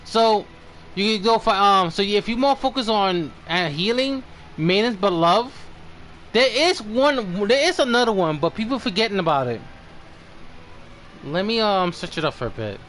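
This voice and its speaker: Male game streamer, notably high voice, halting and conversational, slight noise behind him. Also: animated, a bit bright. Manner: halting, thinking aloud. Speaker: game livestream host. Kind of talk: casual livestream chat.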